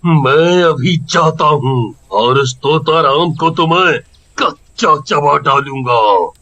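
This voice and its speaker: A man voicing the vengeful ghost of a murdered village husband in a cartoon, emphatic and deliberate. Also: a little high, sharp tone, full character voice. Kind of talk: Hindi cartoon voice acting.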